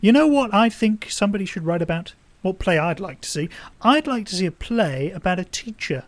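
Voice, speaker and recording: An earnest schoolteacher in a radio play. His voice is notably high for a man. He rambles along chattily and a little tediously, poking fun at himself. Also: lively intonation, fairly quick, no room sound. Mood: earnest, musing. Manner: earnest, rambling, self-deprecating. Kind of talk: audio drama acting.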